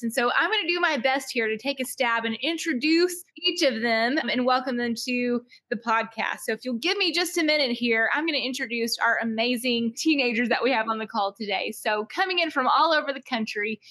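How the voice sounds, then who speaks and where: Bright high-voiced woman host. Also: lively intonation, normal speed, few pauses. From Mississippi. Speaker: podcast co-host. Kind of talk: casual podcast hosting.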